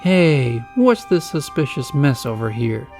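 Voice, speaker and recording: A man's voice: medium pitch, sing-song, unhurried, a little mellow, faint music behind. A man playing a cheerful, guileless little boy. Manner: bouncy, guileless.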